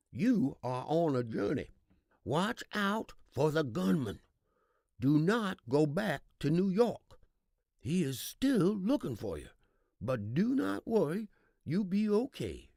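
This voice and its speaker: Audiobook role: elderly Cajun woman seer, acted by a man, slow and prophetic, swooping creaky voice, kindly warnings. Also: high voice, dark tone, no echo, studio quality, pitched above the performer's own voice, full character voice. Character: old Cajun woman, street fortune-teller, ominous but kindly. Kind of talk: audiobook character voice.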